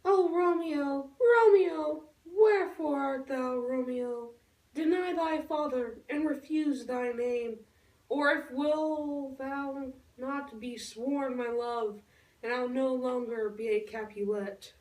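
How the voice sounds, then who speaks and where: Slow, high woman as lovesick girl Juliet. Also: darkish tone. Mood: yearning. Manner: slow, theatrical declaiming with big swells. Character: Juliet puppet, lovesick teenage girl, yearning and dramatic. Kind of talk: Shakespeare recited for a school puppet show. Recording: echoey room, far from the mic.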